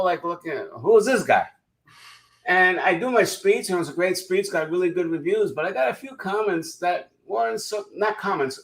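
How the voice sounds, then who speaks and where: A man's voice: a little high, a bit bright. A man hosting an interview in an earnest, conversational way, often restarting his sentences as he makes a point. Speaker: interview host, speaker.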